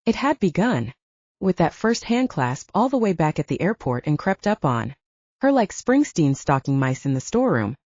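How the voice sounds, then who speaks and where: Mellow, low-voiced woman narrating fiction. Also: big pitch swings, normal speed, dry recording, lo-fi sound. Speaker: audiobook narrator.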